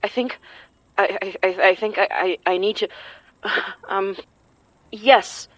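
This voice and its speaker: A woman acting as an anxious but determined young folk magic practitioner in an audio drama, sometimes halting. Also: medium pitch, dry recording. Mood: shaken, hesitant.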